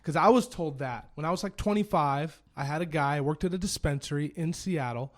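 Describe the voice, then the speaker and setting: A man in his thirties, a business owner guest telling his story in a casual, animated way and often repeating phrases. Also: a little high, fairly quick. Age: 30s. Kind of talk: casual conversation.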